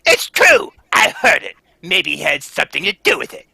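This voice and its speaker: A man's voice: sits high, huge pitch swings, moderate pace, creaky, slightly rough, no echo, studio quality, exaggerated voice. A man reading a defensive, snappy woman animal trainer in a live old-time radio table read. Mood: frantic. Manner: defensive, snappy, hushed then shouting.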